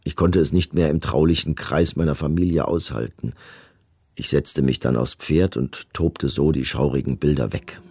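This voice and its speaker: Detached commandant, radio play, husky, close dry sound, measured, matter-of-fact. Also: male voice, very deep voice, fairly even intonation, warm tone, dry recording, full character voice. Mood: sombre, confessional. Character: middle-aged male camp commandant, detached, self-pitying, matter-of-fact. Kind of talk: documentary radio play, reading written testimony.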